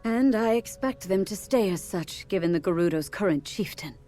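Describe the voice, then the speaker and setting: A woman playing a composed, regal queen in a fantasy game, speaking in a measured, formal way. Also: mid-range voice, lively intonation, mellow, faint music behind, natural voice. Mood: grave. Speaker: video game character voice. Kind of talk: dramatic game cutscene acting.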